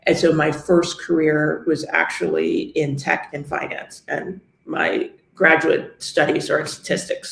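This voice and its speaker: Middle-aged New York woman, gravelly and fairly high, understated and explanatory; interview guest in an echoey room. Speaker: guest, luxury real estate agent. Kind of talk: interview conversation.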